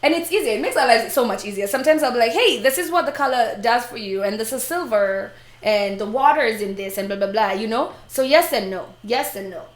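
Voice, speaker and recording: A woman's voice: on the high side, brisk, roomy sound. A Kenyan woman co-hosting a live stream, chatting casually in English and Swahili with lots of laughter and playful quoting. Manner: animated, laughing, switches into playful voices for quotes.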